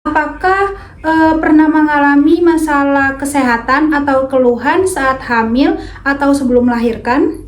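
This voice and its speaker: A woman's voice: high-pitched, unhurried, roomy sound. A woman interviewing a mother, reading polite questions with small hesitations. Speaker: student interviewer.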